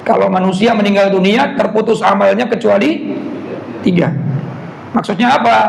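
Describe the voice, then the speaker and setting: A hoarse man, preaching a casual, joking Quran lecture and often asking his congregation to agree. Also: high voice. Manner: joking, asks the audience "betul nggak?". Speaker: preacher giving a Quran commentary class. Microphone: speaker system. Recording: echoey hall with faint noise.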